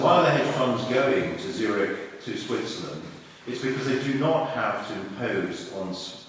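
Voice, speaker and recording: A man of middle pitch makes his pitch as a candidate at a public hustings, filling his speech with ums and offhand asides. His voice is heard from across a large hall with a long, echoing reverb. There is a faint noise floor. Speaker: party leadership candidate. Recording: echoey hall from far off.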